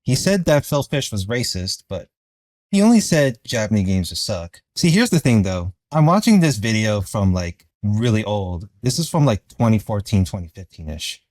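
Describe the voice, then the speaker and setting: A man's voice: on the low side, big pitch swings, normal speed, darkish tone, no echo. A man giving casual, unscripted video commentary in a rambling, hesitant way.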